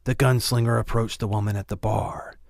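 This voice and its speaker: A man's voice: lowish voice, fairly even intonation, brisk, creaky, darkish tone, no room sound, studio quality. A man narrating a novel as a scripted audiobook reading.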